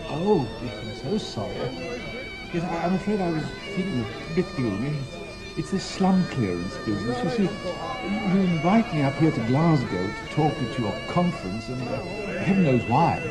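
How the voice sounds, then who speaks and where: An actor playing a mild, bumbling, kindly English priest detective in a radio drama, hesitant and apologetic, stammering over repeated words. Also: male voice, on the high side, big pitch swings, moderate pace. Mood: flustered, apologetic.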